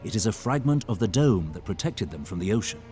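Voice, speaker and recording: Polite earnest fantasy game leader, lowish voice with lots of movement, music under. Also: male voice, very animated, quick pace, darkish tone. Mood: grateful, formal. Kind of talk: video game cutscene acting.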